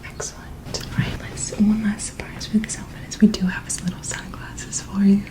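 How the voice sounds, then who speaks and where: A woman performing an ASMR personal-attention role-play, speaking in a gentle, soothing, attentive manner. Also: medium pitch, little pitch movement, moderate pace.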